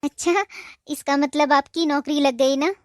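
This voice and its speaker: A woman's voice: high-pitched, steady tone, measured pace, a little hiss or hum. A woman playing a patient, devoted young wife in a cartoon moral story, speaking in a reassuring, respectful way. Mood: gentle. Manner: soft-hearted, reassuring, respectful.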